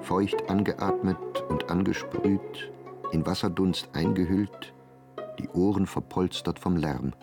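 Low-voiced male literary narrator over music. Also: steady tone, slow. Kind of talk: scripted literary narration in radio play. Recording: prominent music behind narration.

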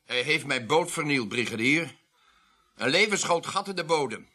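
A man acting in a radio play as an angry, rough village boatman, aggrieved and forceful. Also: on the high side, animated, normal speed. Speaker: actor in a radio drama.

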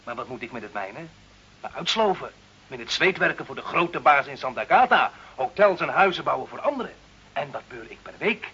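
Bright-voiced cynical village labourer. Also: male voice, mid-range voice, very animated, a little fast, husky, full character voice. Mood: bitter, indignant. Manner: sardonic, colloquial, complaining. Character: cynical, grumbling poor village bricklayer, working man. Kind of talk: radio play acting. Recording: dull old radio recording, faint hiss.

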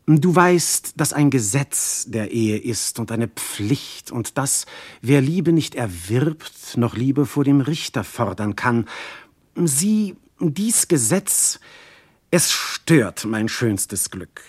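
An actor playing an ardent, jealous god posing as a husband in a verse radio drama, declamatory and intimate. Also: male voice, mid-range voice, sing-song, slow, breathy edge, dry recording, clean studio sound, exaggerated voice. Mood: tender, insistent. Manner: declamatory, intimate, sudden hushed phrases.

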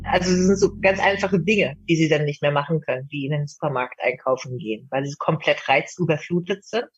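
Husky, higher-pitched man, dull recording. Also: a little fast, no room sound. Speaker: interviewee. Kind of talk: spontaneous explanatory talk. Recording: dry but dull, band-limited sound.

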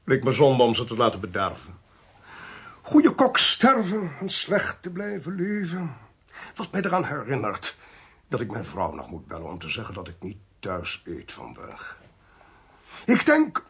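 An actor playing an inquisitive, genial amateur sleuth in a radio play, with a husky, gravelly voice and an expansive, teasing way of talking. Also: male voice, medium pitch, huge pitch swings, a little slow, fairly bright tone, heavily acted. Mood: intrigued.

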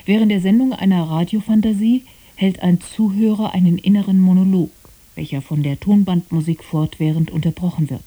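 A woman's voice: fairly low pitch, fairly even intonation, brisk, hardly any pauses, warm tone. A woman reading scripted programme announcements on radio in a composed, formal manner.

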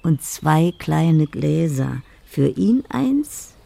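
A woman's voice: low-pitched, sing-song, extremely slow, gravelly, mellow, dry recording, heavily acted. An actress playing a dreamy, lonely woman who muses poetically over her love letters in a radio drama. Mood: dreamy, musing. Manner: poetic, musing. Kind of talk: literary radio drama monologue.

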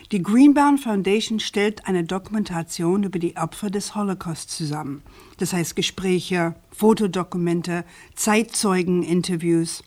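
An actress playing a self-assured, inquisitive American journalist in a German radio crime drama, speaking politely but pointedly. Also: female voice, mid-range voice, darkish tone, close to an everyday voice. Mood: pointed, explaining.

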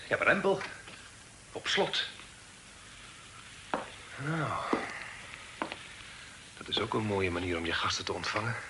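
An actor playing a courteous, uneasy young gentleman in a radio play. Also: lowish voice, sing-song, a little slow, pauses often. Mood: suspicious, uneasy. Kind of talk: Dutch radio play acting. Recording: noisy old radio play recording.

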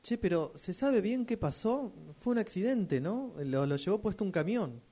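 Un actor como amigo argentino preocupado, voz suave. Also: male voice, sits high, lively intonation, dark tone. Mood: concerned, curious. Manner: informal, halting. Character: concerned male friend offering condolences and asking questions. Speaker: radio drama workshop participant. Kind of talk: rehearsed radio drama acting.

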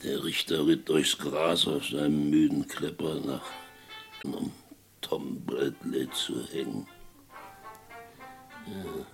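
An actor narrating a grim Western radio play as a grave, gravelly storyteller, deliberate and brooding, repeating his phrases. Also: a bass voice, steady tone, faint music behind, heavily acted. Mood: grave, ominous.

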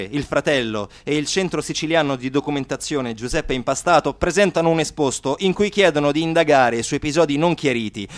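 A man narrating a radio theatre piece with dramatic delivery, moving between hushed and emphatic phrases. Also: fairly high pitch, no room sound.

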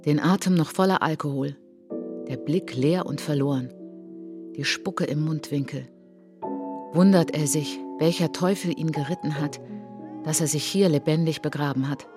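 A woman performing a solemn narrator in a literary radio drama, speaking in measured, dramatic short phrases. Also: deep voice, natural voice. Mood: grim. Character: solemn woman narrator recounting colonial atrocities as a traveller. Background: prominent music behind the voice.

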